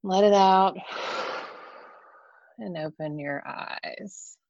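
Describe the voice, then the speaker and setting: A woman hosting an online chat who talks in a chatty, soft-spoken way and laughs often. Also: medium pitch, extremely slow, dry recording, lo-fi sound. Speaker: host. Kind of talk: casual chat, opening with a guided meditation.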